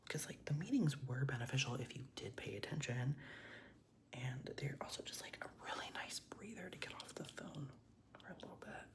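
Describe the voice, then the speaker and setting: A young man tells a long story in a soft ASMR whisper while he does his makeup. His murmured voice has a gravelly, fry-laden edge and sits at a middling pitch. He rambles loosely, fills his sentences with "like," and swears offhandedly. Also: animated, moderate pace. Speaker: vlogger.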